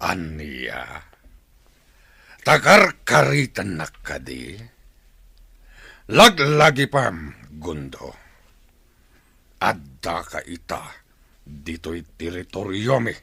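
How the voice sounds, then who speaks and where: A man playing a cruel, taunting captor chief in a radio drama, gravelly and deliberate. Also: low voice, sing-song, pushed and bright, no room sound, exaggerated voice. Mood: taunting threat. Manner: taunting, slow and deliberate, laughing mockingly. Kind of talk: Filipino radio drama acting.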